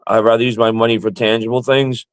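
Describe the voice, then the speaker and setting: A man with a stutter talks bluntly and swears freely, often repeating words as he starts. His voice is mid-pitched and dry, with little room sound around it. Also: flat delivery, quick pace, clear, resonant tone, dry recording. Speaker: guest. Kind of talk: casual chat.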